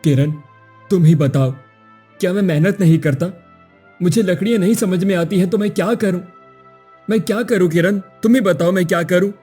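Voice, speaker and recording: A woman's voice: on the low side, normal speed, warm tone, husky, soft background music. A woman voicing a poor young woodcutter husband who is weary and pleading. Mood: despairing. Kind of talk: cartoon voice acting.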